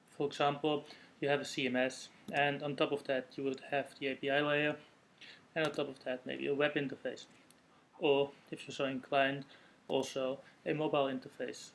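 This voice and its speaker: A man from the Netherlands with a Dutch accent, giving a recorded technical presentation in a measured, explanatory way. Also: mid-range voice, slight background noise. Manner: measured, explanatory delivery with occasional self-corrections. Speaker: conference speaker.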